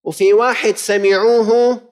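A preacher with a Levantine Arabic accent, his voice sitting a little high for a man. He urges his listeners on with fervent exhortation and pointed rhetorical questions. He slides from stately classical phrasing into casual dialect and back. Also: fairly even intonation, measured pace, darkish tone. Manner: switches between formal classical phrasing and colloquial dialect. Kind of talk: sermon.

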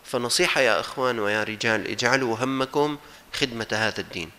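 A man's voice: mid-range voice, fast talker, sharp tone. A man with a Syrian accent giving a religious sermon, exhorting his listeners emphatically and mixing formal with colloquial speech. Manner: emphatic, exhorting, with rhetorical repetition. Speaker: preacher.

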